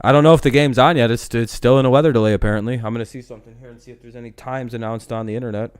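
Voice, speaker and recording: A man's voice: medium pitch, fairly even intonation, rapid-fire, smooth, no room sound, clean studio sound. A man in his twenties chatting casually on a livestream, rambling and profane, often stopping to restart his sentences. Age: 20s. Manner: rambling, profane, self-interrupting. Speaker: livestream host.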